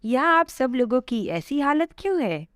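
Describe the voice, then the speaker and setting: Woman playing a curious old lady, high-pitched. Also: big pitch swings, normal speed, fairly bright tone, clean tone, dry recording, studio quality. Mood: puzzled questioning. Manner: polite, wondering. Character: kind, curious, pious elderly woman.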